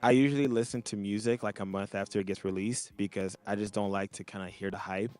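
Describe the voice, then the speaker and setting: A man's voice: lowish voice, steady tone, fast talker, a little mellow, clean tone. A man from Montreal chatting casually as a DJ co-host on a video call, rambling and loose with lots of fillers. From Montreal, Canada. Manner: laid-back, rambling, many fillers. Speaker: DJ and podcast co-host. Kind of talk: casual chat. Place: home, child playing nearby.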